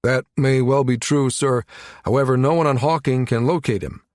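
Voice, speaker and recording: A composed military executive officer, voiced by a male narrator in a science fiction audiobook. He is courteous and smooth but clearly in command, recorded very dry. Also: mid-range voice, steady tone, normal speed, a little mellow, no room sound, lightly acted. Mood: smooth, firm. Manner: smooth, polite but authoritative.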